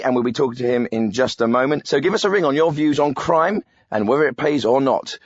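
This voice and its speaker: An actor playing a brisk, politely dismissive host of a spoof radio phone-in. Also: male voice, mid-range voice, husky, dry recording, clean studio sound. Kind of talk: spoof live phone-in presenting.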